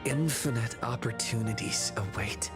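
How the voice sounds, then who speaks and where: A woman voicing a calm, reserved, quietly reassuring protagonist in an anime game. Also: a bass voice, normal speed. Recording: background music under the voice.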